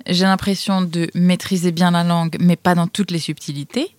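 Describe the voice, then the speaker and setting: A woman's voice: on the low side, little pitch movement, normal speed, darkish tone, no echo. Reflective French-speaking woman, dry sound. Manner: reflective, searching for words. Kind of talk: casual discussion.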